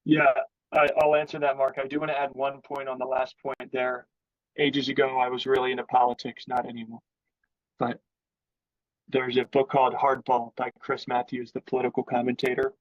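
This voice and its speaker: A man's voice: mid-range voice, monotone, normal speed, no echo, dull audio. A man speaking as an interview guest in sales, explaining his thinking in a casual business conversation.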